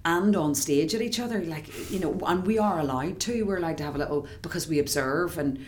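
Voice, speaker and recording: An actress with an Irish accent, a woman, chatting excitedly in an interview with many quick restarts. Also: sits low, slight background noise. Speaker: musical theatre actress, guest. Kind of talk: casual interview chat.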